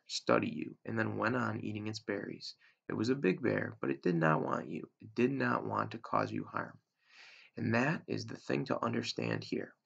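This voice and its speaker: A man in his thirties with a gravelly, husky voice, reading a storybook aloud like a teacher to a class. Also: lowish voice, fairly bright tone. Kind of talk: read-aloud storytelling narration. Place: small room indoors at home. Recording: dull, lo-fi webcam sound.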